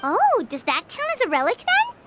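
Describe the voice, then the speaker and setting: A woman voicing a small, childlike fairy companion in a video game, eager, breezy and expressive. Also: very high voice, moderate pace, heavily acted. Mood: curious. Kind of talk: video game character dialogue. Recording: game music behind the voice.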